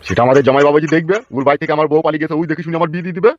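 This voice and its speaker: Man doing a whiny old cartoon villager whose wife left him, high strained pitch, muffled sound. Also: steady tone, rapid-fire, lightly acted. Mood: plaintive. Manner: wailing, plaintive. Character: whining, self-pitying abandoned old village husband. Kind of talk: comic village cartoon dubbing. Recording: muffled, music under the voice.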